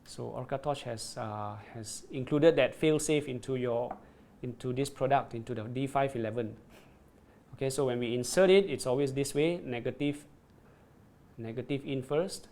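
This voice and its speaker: A man's voice: medium pitch, moderate pace, a little mellow. A man presenting a product on a livestream, demonstrating it and reading viewer comments, often repeating words and checking in with "right?".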